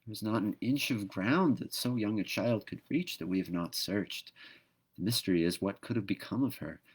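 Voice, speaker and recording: Young bushman friend for a storybook, read by a man: plain-spoken, kindly, low-pitched. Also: normal speed, husky. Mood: mournful.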